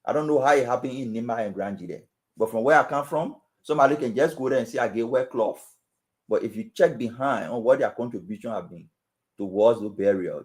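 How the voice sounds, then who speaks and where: A man with a Liberian accent, speaking as a guest on a political talk show with an emphatic, preaching cadence and repeated phrases. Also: mid-range voice. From Western Liberia. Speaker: panel guest.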